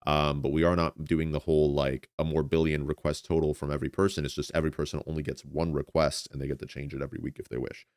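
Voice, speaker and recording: A man's voice: a bass voice, flat delivery, fast, few pauses, darkish tone, clean tone, no echo, studio quality. A man in his twenties, a native Spanish speaker, chatting casually as a livestreamer in a rambling way, often repeating words. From United States. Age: 20s. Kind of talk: casual chat with stream viewers.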